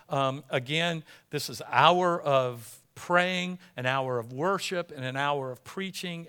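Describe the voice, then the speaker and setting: A male pastor delivers an informal sermon in a fairly high, strongly bright voice. He talks conversationally yet with emphatic urging, often restating a phrase as he goes. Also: clean tone. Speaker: pastor preaching to a congregation. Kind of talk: informal sermon with missions slideshow.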